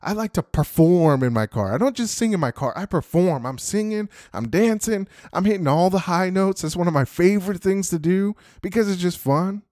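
A young adult man with a deep voice hosting his own podcast, giving upbeat motivational tips and often tagging lines with "right?" and "you know". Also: animated, moderate pace, few pauses, a little mellow, no echo, studio quality. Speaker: podcast host. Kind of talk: motivational talk.

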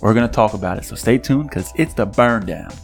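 A man's voice: on the low side, a little fast, gravelly, over loud music. A man co-hosting a podcast, chatting casually across a table and telling jokey stories. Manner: joking, animated storyteller. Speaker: podcast co-host. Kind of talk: casual chat. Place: studio table with a co-host.

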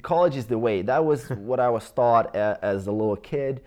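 A man's voice: medium pitch, moderate pace, dark tone. A man in his thirties with a Croatian accent, a native Croatian speaker, talking casually about his past as an interview guest, rambling with many fillers and restarts. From Croatia/Bosnia region. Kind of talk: casual life-story interview.

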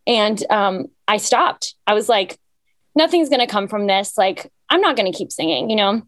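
A woman's voice: medium pitch, lively intonation, normal speed, no room sound. Chatty young woman singer, dry sound. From Cyprus. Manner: chatty, filler-heavy, upbeat storytelling. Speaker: singer guest. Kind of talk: casual interview chat.

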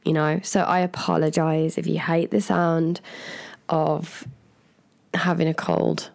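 Croaky woman with a cold. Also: sits low, flat delivery, takes it slowly, a little mellow. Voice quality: naturally croaky. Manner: chatty, laughs often, trails off mid-sentence. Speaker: podcast co-host. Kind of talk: casual chat.

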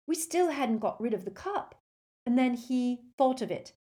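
A woman voicing an excitable, clever teenage girl who talks breathlessly. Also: on the high side, a little slow, pitched above the performer's own voice. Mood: excited, gushing. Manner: breathless, gushing.

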